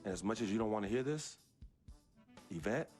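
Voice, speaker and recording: Game drama: actor as loyal young athlete, lowish voice, earnest and firm, over music. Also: male voice, expressive, a little fast, a little mellow, close to an everyday voice. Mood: tender, earnest. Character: loyal, earnest young basketball star standing up to his sister. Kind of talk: dramatic video game cutscene acting. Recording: music under the dialogue.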